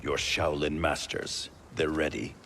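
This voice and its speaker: A man's voice: a bass voice, level tone, unhurried, a bit bright, a little hiss or hum, exaggerated voice. A man playing a grim, distrustful warrior leader in a fighting game, stern and guarded. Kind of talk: cinematic fighting-game dramatic acting.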